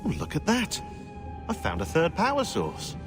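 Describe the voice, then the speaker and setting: A clever researcher from a video game, slightly high in pitch with lively swings. He is brisk and precise, with music playing behind. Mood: keen, concerned.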